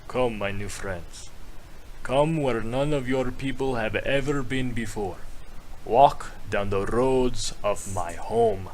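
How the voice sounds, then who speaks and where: Wise mystical elder guide, played by a man: quiet, measured, solemn fantasy game delivery, faint noise behind. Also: mid-range voice, close to an everyday voice, poor audio. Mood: calm, solemn.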